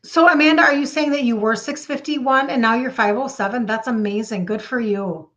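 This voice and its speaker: A woman in her fifties with a highish voice and a Minnesota accent. She hosts a casual live chat, talking to viewers by name in an excitable, gushing way and repeating phrases for emphasis. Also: fairly quick, lo-fi sound. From Minnesota, United States. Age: 50s. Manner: chatty, addresses viewers by name. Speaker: live stream host, health coach.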